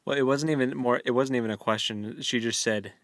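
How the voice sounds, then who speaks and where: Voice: medium pitch, fairly even intonation, brisk, no room sound, clean studio sound. A playful, teasing co-host of a book podcast, chatting casually. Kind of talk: casual book-club chat.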